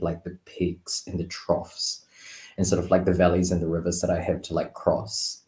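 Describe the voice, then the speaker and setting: A man with a low voice speaks casually as a guest in a conversation. He thinks aloud in a reflective, loosely phrased way. The sound is roomy, with noticeable echo around his words. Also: darkish tone. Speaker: guest tarot reader. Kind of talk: casual chat.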